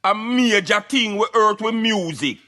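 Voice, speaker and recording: An emphatic Jamaican Rasta played by a man holds forth on a satirical radio show. His voice is highish and forceful, preachy and streetwise, with faint music behind. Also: lively intonation, a little slow, exaggerated voice. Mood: insistent, preachy. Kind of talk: satirical radio rant in patois.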